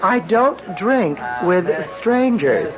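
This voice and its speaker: A man's voice: sits high, big pitch swings, slow pace, heavily acted. An actor playing a man who masquerades as a flirtatious, plaintive wife in a radio drama, with coy hesitations. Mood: guarded. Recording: muffled old radio recording, music behind.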